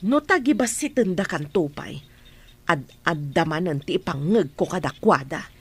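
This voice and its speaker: A woman's voice: fairly low pitch, lively intonation, normal speed, slightly rough. A woman playing a proud, protective middle-aged mother in a radio drama, talking in an insistent, lecturing way. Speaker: Juliet's mother. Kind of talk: amateur radio drama acting.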